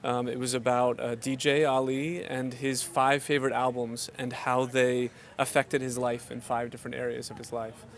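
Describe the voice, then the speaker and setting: A man's voice: medium pitch, little pitch movement, moderate pace, hardly any pauses. A man, a film producer, answering interview questions confidently.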